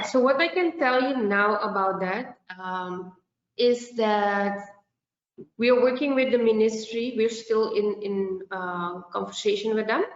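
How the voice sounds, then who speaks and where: A woman from Suriname, a native Dutch speaker, answering questions in an informal online meeting in short, halting explanatory phrases. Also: medium pitch. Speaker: festival representative answering questions. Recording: echoey room, muffled online-call audio.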